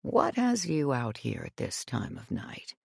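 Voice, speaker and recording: A woman reading voices a gentle, kindly elderly man who speaks softly, calmly and patiently. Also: a bass voice, a little slow, creaky, breathy edge, no room sound, clean studio sound, heavily acted.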